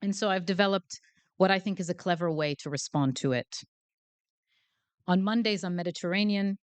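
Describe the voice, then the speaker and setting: A woman's voice: lowish voice, moderate pace, clean tone. A woman giving a guest lecture in a hall, chatty and self-deprecating, with many fillers, speaking into a handheld mic. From Canada. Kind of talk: academic lecture. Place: lecture hall before a live audience.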